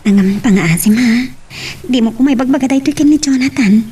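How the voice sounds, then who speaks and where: A woman's voice: sits high, a little fast, mellow, distant mic, a little hiss or hum, close to an everyday voice. A woman playing a lovestruck young woman confiding in a friend in an Ilocano audio drama. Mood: earnest, confiding.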